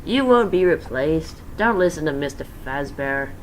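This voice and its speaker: A man's voice: on the high side, expressive, a little slow, a little hiss or hum, rough recording. A man voicing a scheming businessman villain who talks in a falsely reassuring way, in a fan-story reading. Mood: placating. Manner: smooth-talking, falsely soothing.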